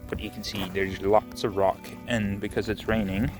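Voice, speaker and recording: Casual male outdoor vlogger, lowish voice, laid-back, musing, rain and music behind. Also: normal speed. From Canada. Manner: relaxed, musing, thinks aloud with restarts. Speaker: rockhounding vlogger. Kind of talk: casual outdoor vlog narration. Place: rainy beach outdoors.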